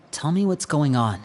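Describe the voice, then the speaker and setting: A woman voicing a calm, watchful young member of a secretive family in a video game. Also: low-pitched, very noisy, exaggerated voice. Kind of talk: game voice acting. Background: game music.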